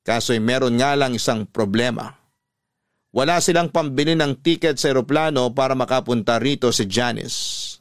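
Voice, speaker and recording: A man's voice: mid-range voice, no room sound, close to an everyday voice. Man as earnest Filipino storyteller, dry sound. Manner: earnest, measured, confiding. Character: earnest man recounting his family story by letter. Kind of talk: scripted first-person radio drama narration.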